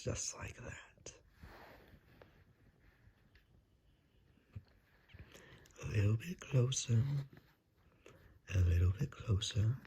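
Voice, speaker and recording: A performer voicing a hungry, courteous but menacing vampire in a breathy, coaxing ASMR roleplay whisper. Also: low-pitched, warm tone, a little hiss or hum. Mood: coaxing, hungry menace. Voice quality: breathy, husky whisper with creaky edges. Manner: slow, coaxing, politely threatening.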